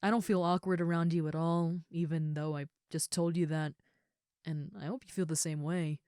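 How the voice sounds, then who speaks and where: Audio roleplay: actress as gentle female ogre roommate. Lowish pitch, dry close sound, soft, shy, reassuring, casual delivery. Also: steady tone, moderate pace, clean tone, no echo, natural voice. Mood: earnest, reassuring. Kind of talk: intimate comfort audio roleplay, addressed to the listener.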